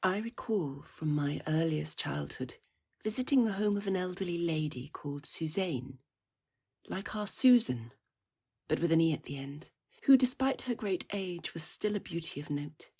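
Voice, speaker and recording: Victorian village woman, slightly low, husky, measured, formal, fondly reminiscent, period audio drama memoir. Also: lively intonation, warm tone, exaggerated voice.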